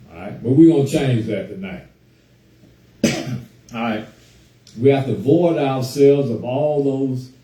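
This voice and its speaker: A man hosting a casual talk show on a group call, hoarse from a cold, chatting easily and greeting listeners by name. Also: mid-range voice, dark tone, reverberant, far from the mic, poor audio. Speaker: host.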